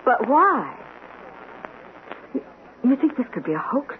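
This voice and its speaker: A practical housewife in an old radio drama. She sounds puzzled and questioning, with very lively intonation, through tinny, noisy sound. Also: sits high, unhurried. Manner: questioning, animated. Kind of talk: vintage radio drama acting.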